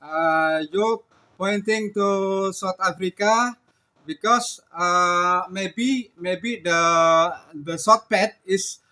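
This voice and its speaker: A man with an Indonesian accent speaking as an amateur radio operator, halting, repetitive and polite as he makes a contact. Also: sits high, sharp tone.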